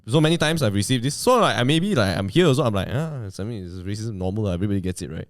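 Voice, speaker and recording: A man's voice: fairly low pitch, animated, very fast, dry recording, clean studio sound. A man from Malaysia with a Malaysian English accent, telling stories in a casual podcast chat. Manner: chatty storyteller, tags sentences with "lah" and "man". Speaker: podcast co-host.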